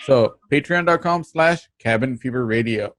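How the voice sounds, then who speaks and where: A man hosting an online show, giving a casual, halting sign-off with plugs and thanks. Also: mid-range voice, a bit bright, no room sound. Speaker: host of an online radio drama show.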